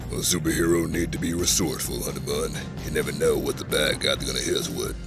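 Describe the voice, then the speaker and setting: Deep-voiced, folksy superhero dad, acted by a man. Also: steady tone, fast. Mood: cheerful, instructive. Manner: folksy, fatherly pet names. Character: chipper, doting father training his superhero daughter. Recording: music behind the voice.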